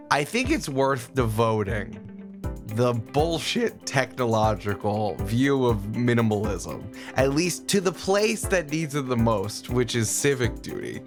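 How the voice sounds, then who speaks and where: A man riffing jokily and casually as a player in an improvised tabletop roleplaying game. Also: medium pitch. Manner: jokey, animated riffing. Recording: clear background music bed. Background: music.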